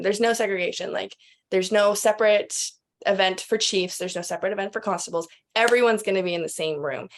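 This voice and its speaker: A woman with a mid-range voice speaks as a guest. She explains things casually, in a conversational interview style. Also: medium pitch. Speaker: interview guest, expo organiser.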